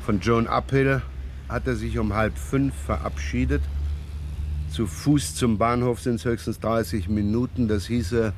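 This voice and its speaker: A man's voice: mid-range voice, fairly even intonation, measured pace, slight background noise, close to an everyday voice. A man acting a shrewd, rumpled, talkative provincial police inspector in a radio crime drama, probing and rambling with wry repetitions.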